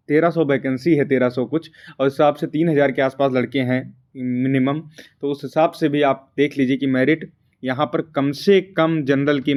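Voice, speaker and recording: A man's voice: mid-range voice, darkish tone. A young man gives informal explanatory commentary as a video presenter, repeating his points for emphasis. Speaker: exam-update video presenter.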